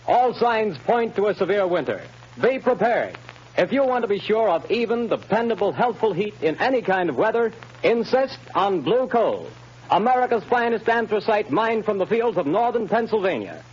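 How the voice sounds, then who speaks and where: Male announcer for a 1930s sponsor spot, high voice, formal, dull old broadcast. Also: very animated, moderate pace, darkish tone, husky. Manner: formal, emphatic delivery. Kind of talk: 1930s radio advertisement. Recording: dull old radio broadcast over music.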